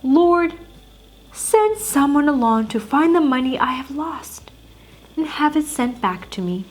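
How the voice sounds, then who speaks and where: A woman's voice: high voice, lively intonation, unhurried, a little hiss or hum, voice pushed up. A woman voicing an anxious, earnest schoolboy in a bedtime story, plaintive and trusting. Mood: earnest, praying.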